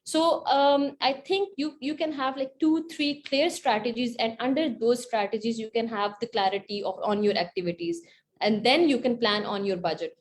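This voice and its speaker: A woman's voice: a little high, normal speed. A woman giving explanatory advice as a panelist, repeating and rephrasing her points. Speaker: panelist, grant recipient.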